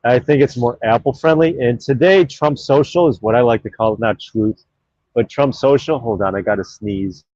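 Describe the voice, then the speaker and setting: A man's voice: medium pitch, normal speed, a little mellow, no room sound. Rambling man on a dull, dry stream mic. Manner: rambling and offhand, with many fillers. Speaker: live stream host. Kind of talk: casual chat, reading viewer comments aloud.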